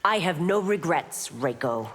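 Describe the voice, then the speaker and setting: An actress playing a stern, resolute law officer and warrior in a video game, with a firm, formal manner. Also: female voice, low-pitched, animated, unhurried, full character voice. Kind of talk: video game heroine acting. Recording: reverberant arena with clear background noise.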